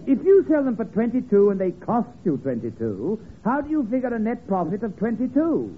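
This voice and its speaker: Skeptical, amused comedy sidekick, high animated voice, tinny hissy old radio. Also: male voice, a little fast. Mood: incredulous. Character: skeptical tavern regular checking the books, amused. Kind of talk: 1940s radio comedy acting.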